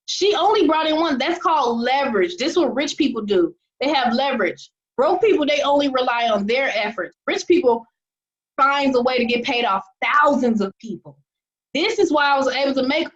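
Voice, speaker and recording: High-voiced upbeat woman on a video call. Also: animated, normal speed, highs cut off. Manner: upbeat, repeats "okay?" often. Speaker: host of an online money-making call. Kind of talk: enthusiastic presentation on a video call.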